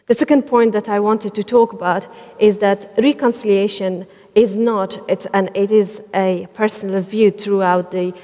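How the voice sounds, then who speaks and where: A woman from Afghanistan speaking as a panelist, pausing often with fillers and restarting her sentences. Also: medium pitch, steady tone, dark tone. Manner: hesitant, many fillers and restarts. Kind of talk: panel talk. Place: large church hall. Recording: long hall reverb.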